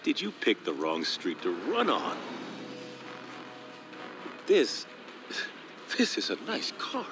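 An American actor playing a cocky, menacing middle-aged police sergeant in a video game, with a taunting, theatrical drawl. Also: male voice, mid-range voice, wildly swooping pitch, slow, long pauses, music in the background, exaggerated voice. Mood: mocking. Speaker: police officer. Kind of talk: video game cutscene acting.

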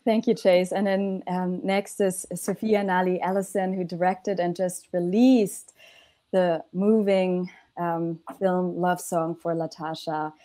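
A woman's voice: lowish voice, little pitch movement, slow pace, mellow. A woman with a German accent moderating a panel over a video call, welcoming and with frequent ums, speaking into an earphone mic from a room at home.

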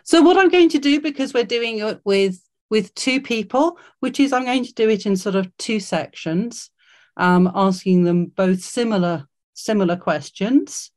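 A woman's voice: mid-range voice, measured pace, a little mellow. A woman hosting an online careers workshop over a video call, encouraging and full of fillers and restarts. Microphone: video call mic.

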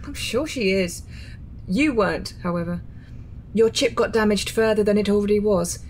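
A woman's voice: mid-range voice, normal speed, a little mellow, slight background noise, natural voice, low-quality recording. A woman playing a composed resistance medic in a radio drama, explaining things in a blunt, matter-of-fact way. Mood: brisk, matter-of-fact.

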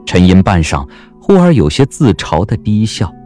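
A man with a low voice narrates a historical romance. His storytelling is expressive and very varied in pitch, and music plays faintly underneath. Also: normal speed. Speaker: audiobook narrator. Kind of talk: scripted narration, historical romance audio drama.

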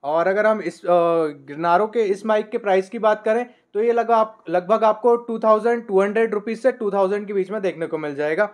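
A young man in his twenties gives a casual review of his vlogging gear, talking to the camera from a desk in a small room through a podcast mic on a boom arm and filling his pauses with "uh". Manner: relaxed, many "uh" fillers, shows each item.

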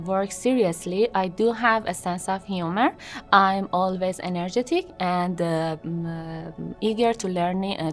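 A woman in her thirties from Iran, a native Persian speaker, introducing herself to camera in a studio, with many hesitant fillers. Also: mid-range voice. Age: 30s. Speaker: job seeker introducing herself. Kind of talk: unscripted self-introduction to camera. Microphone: seated, medium shot.

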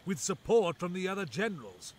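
A man's voice: sits high, sing-song, a little fast, a little hiss or hum, voice pushed up. A man voicing an anxious, impatient male royal plotter in an audiobook, with a light, urgent voice. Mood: discouraged.

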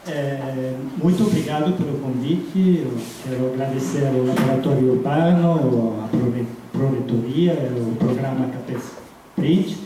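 A middle-aged man with an Italian accent lecturing haltingly in a language not his own, searching for words. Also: mid-range voice, mellow. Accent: foreign, Italian. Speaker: guest academic lecturer. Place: large dim seminar room. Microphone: handheld microphone into room speakers, recorded by distant camera. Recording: echoey room, distant mic, background noise.

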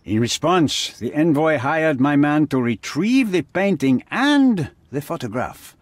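A middle-aged male crime boss in a game drama, haughty and menacing. His voice is husky and moves widely in pitch, formal and imperious. It sounds close and dry. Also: animated, a little slow, no room sound. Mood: calculating, smooth. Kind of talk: dramatic video game acting.

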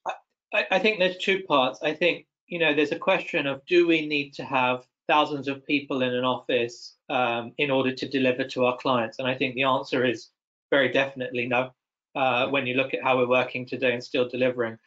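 A man's voice: mid-range voice, little pitch movement, brisk, fairly bright tone. A man giving considered answers as an executive panelist on a video call. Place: indoor room, on a video call. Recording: dull video-call audio.

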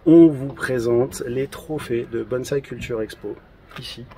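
Relaxed man chatting outdoors. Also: mid-range voice, unhurried, rough recording. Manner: relaxed, hesitant with many fillers. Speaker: event organizer presenting trophies. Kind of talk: casual on-camera chat. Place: outdoors in a garden.

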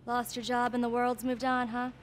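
A woman's voice: a little high, little pitch movement, moderate pace, a little hiss or hum, close to an everyday voice. A woman playing a blunt, pitying clothing store clerk in a video game.

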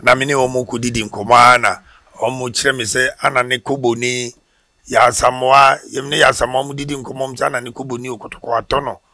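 Bright-voiced Ghanaian former footballer. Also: male voice, mid-range voice, fairly quick, dry recording. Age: middle-aged. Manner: relaxed storytelling, gestures, hesitant restarts. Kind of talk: casual interview in Twi mixed with English. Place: outdoor porch by brick wall. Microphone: headset mic.

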